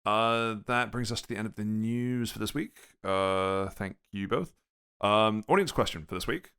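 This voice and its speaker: A man's voice: lowish voice, moderate pace, a bit bright, clear, resonant tone. A man with a New Zealand accent hosting a casual gaming podcast, easygoing and reading listener questions aloud to his co-hosts. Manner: laid-back, reads listener questions aloud. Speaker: podcast host.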